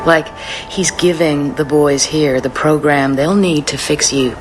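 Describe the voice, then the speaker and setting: A woman's voice: deep voice, fairly even intonation, a little slow, a little hiss or hum. An actress playing a tough, laconic female mercenary in a radio drama, with music and chimes behind her. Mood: cool, knowing. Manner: cool, laconic, streetwise.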